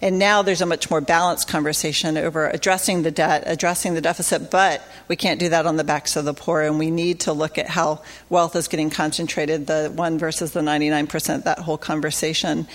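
A woman's voice: sits low, level tone, fairly quick, few pauses. A woman organizer giving a conversational conference talk about faith-based advocacy, with many fillers and restarts.

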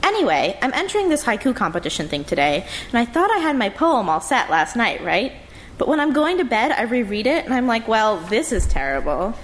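A chatty, oblivious young woman of twenty-two, rambling on in a breezy, high, quick voice, as a woman reads her part. She sits well above the reader's own middle pitch. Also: big pitch swings, a little hiss or hum.

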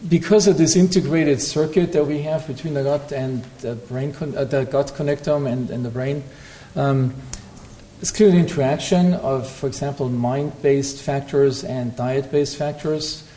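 A man giving an academic lecture and answering questions, pausing often with ums and restarts. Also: mid-range voice, warm tone, a little hiss or hum. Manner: hesitant, frequent ums and restarts. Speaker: lecturing researcher.

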